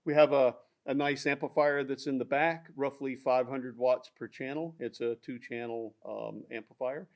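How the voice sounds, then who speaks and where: A man talks through loudspeaker features in a technical product discussion. He explains at a steady, mid-range pitch, often pausing on fillers and restarting his sentences. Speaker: audio product specialist.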